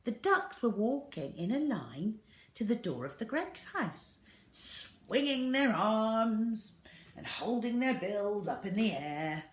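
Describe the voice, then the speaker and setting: Female storyteller for children: unhurried, highly varied intonation, roomy home recording. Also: big pitch swings. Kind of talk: expressive children's story reading.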